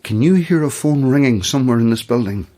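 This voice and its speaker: A woman with an Irish accent playing a frightened but commanding late-night radio host in a naturalistic horror audio drama, urgent and halting. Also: very deep voice, lively intonation, dark tone. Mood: tense, commanding. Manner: urgent, halting, gives orders.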